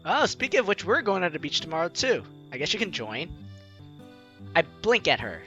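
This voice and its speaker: A man's voice: high-pitched, fairly quick, highs cut off. A young man hosting a let's play, casually commenting and reading game dialogue aloud in a playful way. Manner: animated, playful. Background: game music.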